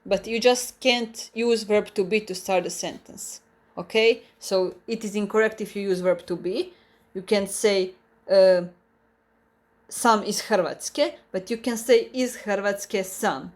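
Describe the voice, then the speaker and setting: A woman with a Croatian accent teaches a live online language lesson. She talks at an unhurried, deliberate pace, in a voice of middling pitch. She works through each point carefully, restarting phrases and repeating examples. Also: steady tone.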